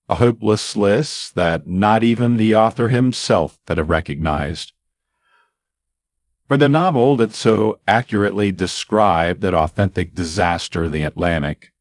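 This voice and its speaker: A man narrates a scripted radio story in a low, unhurried voice. He builds suspense with long dramatic pauses and hits each reveal with punchy emphasis. The sound is dry and close, with no room echo. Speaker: radio commentator.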